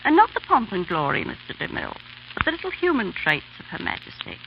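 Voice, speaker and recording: A woman's voice: mid-range voice, wildly swooping pitch, fast, creaky. A woman speaking as a guest in a scripted 1930s radio interview, telling her recollections in a genteel, formal and precise way. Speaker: guest expert, former royal dresser. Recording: noisy, dull 1930s radio recording.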